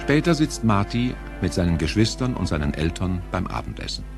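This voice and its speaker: Deep, animated male story narrator. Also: fairly quick, creaky, over music. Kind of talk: scripted narration.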